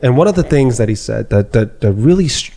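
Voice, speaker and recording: Excitable man with a mellow voice. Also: medium pitch, brisk, gravelly. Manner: excited, emphatic, repeats phrases. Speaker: podcast host. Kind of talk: casual podcast chat.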